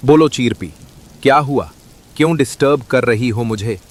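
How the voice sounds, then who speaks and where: A man voicing a grumpy, selfish male crow in a cartoon, who talks gruffly and brushes others off. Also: medium pitch, moderate pace, close to an everyday voice. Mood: annoyed. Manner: gruff, irritable, dismissive.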